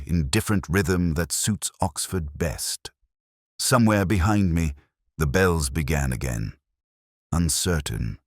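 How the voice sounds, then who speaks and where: A man voicing a weary middle-aged detective, narrating in a measured, melancholy, literary way. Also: low voice, no room sound, studio quality, heavily acted. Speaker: first-person narrator. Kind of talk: scripted audio drama narration.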